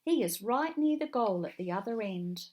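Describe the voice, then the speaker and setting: A woman narrating a children's read-along story in a deliberate, expressive way. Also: medium pitch.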